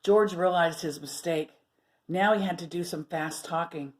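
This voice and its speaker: A woman storyteller reading aloud to children expressively, pausing to put questions and asides to her listeners. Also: fairly low pitch.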